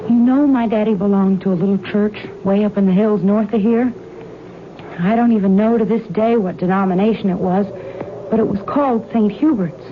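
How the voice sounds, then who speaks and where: A woman plays a solemn, devout American huntress in a vintage radio mystery. Her delivery is grave and dramatic, on a tinny, clearly noisy old recording. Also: mid-range voice, normal speed, lightly acted. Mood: solemn, resolute.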